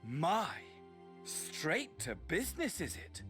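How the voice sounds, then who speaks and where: A man's voice: high voice, wildly swooping pitch, takes it slowly, a bit bright, heavily acted. An actor playing a gleefully nihilistic villain mastermind in a video game, mocking and grandiose like a mad showman. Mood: smug, theatrical. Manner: grandiose showman, swinging from mocking courtesy to mania. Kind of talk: theatrical video game voice acting. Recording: game audio with music behind.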